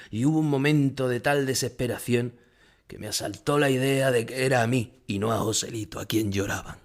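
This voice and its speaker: Casting: low-voiced Spanish male actor for an older bullfighter haunted by grief, solemn first-person radio drama narration. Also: close to an everyday voice. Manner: solemn, emotional storytelling. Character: famous older bullfighter, grief-stricken, remembering his dead rival. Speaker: narrator playing a bullfighter recalling the past.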